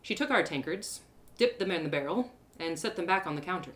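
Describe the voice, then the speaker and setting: A woman's voice: fairly low pitch, expressive, brisk, fairly bright tone. A woman narrating a first-person audio story in a dramatic storytelling style.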